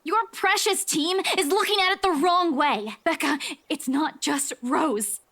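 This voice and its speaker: Very high, desperate young woman. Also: measured pace, a bit bright. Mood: insistent. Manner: urgent, insistent. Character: desperate, stubborn young woman pleading for help. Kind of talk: dramatic visual novel voice acting.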